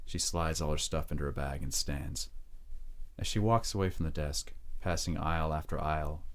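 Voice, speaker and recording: A man narrating a scripted audio drama in a measured, descriptive way. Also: rumbling low.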